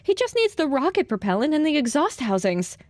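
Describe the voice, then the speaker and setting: A woman voicing a small, feisty young mare heroine, bossy and sarcastic, with a brisk, assertive delivery. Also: sits high, sing-song, darkish tone, no room sound. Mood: challenging.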